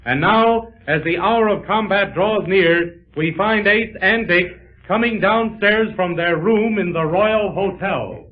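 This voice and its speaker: A man's voice: high-pitched, expressive, slow. A man announcing a 1930s radio adventure serial in scripted, dramatic narration. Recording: tinny, narrow-band 1930s radio recording.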